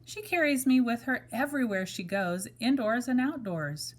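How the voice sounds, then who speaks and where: A woman's voice: a little high, lively intonation, moderate pace. A woman voicing a worried, earnest little male toy bunny in a children's storybook. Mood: thoughtful. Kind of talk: children's storybook read-aloud.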